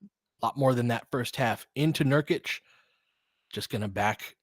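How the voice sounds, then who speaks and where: A man's voice: mid-range voice, measured pace, dry recording, clean studio sound. A man giving informal live basketball play-by-play as a fan-facing host, opinionated and conversational, in clipped phrases.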